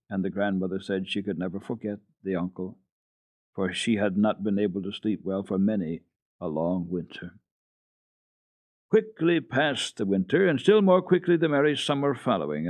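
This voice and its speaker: Man, low pitch, dramatic storybook narration, dry dull archival radio sound. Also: no room sound. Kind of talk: dramatic children's story reading.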